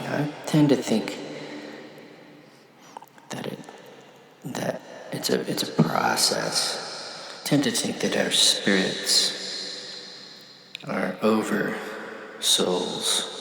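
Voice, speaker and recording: A man delivering a reflective spiritual monologue, musing in halting phrases with long pauses between them. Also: sits low, gravelly, bright, forceful tone, echoey room. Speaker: monologue speaker.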